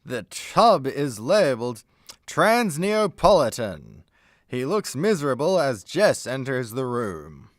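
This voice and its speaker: Measured, lively-pitched man narrating. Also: dry recording, studio quality. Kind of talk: scripted radio play narration.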